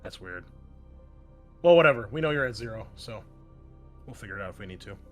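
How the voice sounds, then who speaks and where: A man with a fairly low voice acts as game master for a tabletop roleplaying session. He talks conversationally through rules and options, correcting himself as he goes. Soft music plays behind him. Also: animated, brisk. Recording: background music under the voice.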